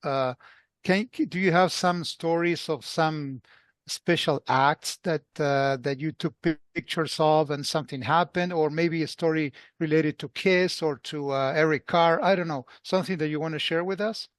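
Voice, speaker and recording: A man co-hosting a casual interview podcast, effusive, with halting phrasing. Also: on the high side, fairly even intonation, no room sound. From Buenos Aires, Argentina. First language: Spanish. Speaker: podcast co-host.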